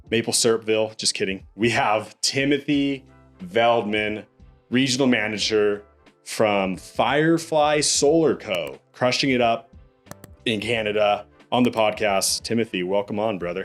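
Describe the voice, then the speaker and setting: A young man hosting a podcast in casual interview chat, upbeat and calling his guest "dude" and "bro". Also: medium pitch. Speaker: podcast host.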